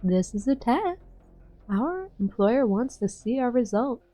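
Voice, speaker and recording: A woman's voice: fairly high pitch, big pitch swings, unhurried, warm tone, no echo, soft background music. A woman playing a cool, calculating operation leader in an amateur game dub. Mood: cool, controlled. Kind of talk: English fan dub of a game story.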